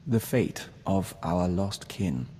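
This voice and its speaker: An actor voicing a calm, formal young man joined to an insect hive mind in a video game, speaking in a measured way as "we". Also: low-pitched, in a large hall, slight background noise. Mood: earnest, dreamy. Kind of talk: scripted video game voice acting.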